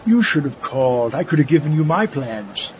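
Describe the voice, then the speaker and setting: Man playing a prickly, theatrical mad scientist, lively pitch. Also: moderate pace, highs cut off, slight background noise. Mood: smug, taunting. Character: eccentric, touchy male mad scientist, gleefully sinister. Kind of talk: comic audio drama acting.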